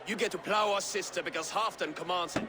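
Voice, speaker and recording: A man's voice: high voice, fast talker, background noise, natural voice. A man playing a boorish, belligerent Norse warrior in a video game, taunting and coarse in manner. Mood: scornful.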